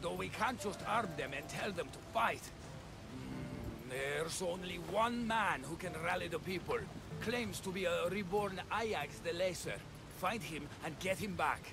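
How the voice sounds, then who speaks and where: An actor playing the blunt, sardonic, exasperated leader of a threatened village in ancient Greece, in a video game cutscene. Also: male voice, sits high, expressive, a little slow, vocal fry, over music, heavily acted, rough recording. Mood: sardonic, pragmatic.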